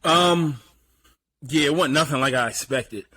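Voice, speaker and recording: A man hosting a casual sports chat, breaking down games in an easygoing, analytical way and clearing his throat often. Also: on the high side, measured pace, bright, forceful tone, a little hiss or hum. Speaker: podcast host.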